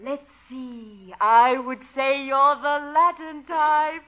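An actress playing a gushing, pushy, theatrical dance instructor with a flirtatious manner, in 1950s radio comedy style. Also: female voice, high-pitched, slow pace. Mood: gushing, flattering. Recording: dull old radio recording.

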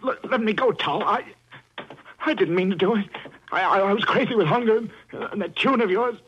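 An actor in 1940s radio drama voicing an injured, guilt-ridden murderer who stammers in panic. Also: male voice, sits high, a little fast, a bit bright. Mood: pleading. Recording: lo-fi old radio broadcast with faint hiss.

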